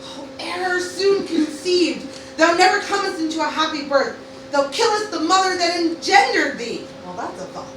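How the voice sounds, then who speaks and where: A woman's voice: very high-pitched, expressive, moderate pace, fairly bright tone. A woman playing an earnest, grieving teenage girl as a Roman soldier, lamenting dramatically in an amateur Shakespeare radio parody. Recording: very echoey, distant mic, faint noise.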